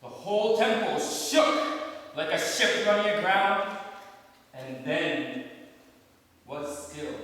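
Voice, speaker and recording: A man acting as a solemn storyteller, narrating a stage fairy tale in a measured, dramatic way. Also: on the high side, a bit bright, full character voice. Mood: hushed. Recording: echoey hall from far off.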